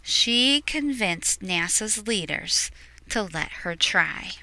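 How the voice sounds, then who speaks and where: A woman reading a picture book aloud expressively, explaining things to young listeners in hushed asides. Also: medium pitch, animated, takes it slowly, slight background noise, rough recording.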